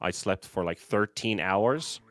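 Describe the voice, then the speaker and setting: A man with a Bulgarian accent casting an esports match, his voice a bit nasal and a little sore from a recent flu. He speaks in a lowish, smooth tone, relaxed and chatty with plenty of fillers. The sound is dry and close. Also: measured pace, no room sound. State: recovering from flu, slightly sore voice. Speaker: esports caster. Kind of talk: live game commentary.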